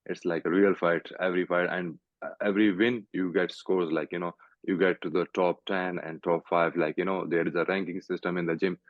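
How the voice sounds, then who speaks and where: A young Pakistani man, a fighter, answering interview questions over a video call in halting English full of "like" and "you know". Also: sits low, level tone, no echo. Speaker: guest fighter. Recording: tinny call audio, dry.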